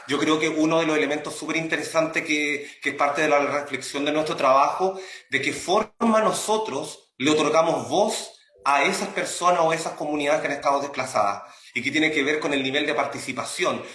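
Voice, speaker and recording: A man's voice: a little high, a bit bright. A man with a Chilean accent explaining earnestly as an interview guest, often rephrasing, with a roomy, echoing sound. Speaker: academic and radio drama creator, interview guest.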